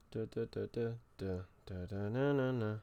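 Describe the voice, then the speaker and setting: A man's voice: medium pitch, dark tone. A man giving casual, off-the-cuff commentary while playing a game. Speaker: gamer playing and reacting.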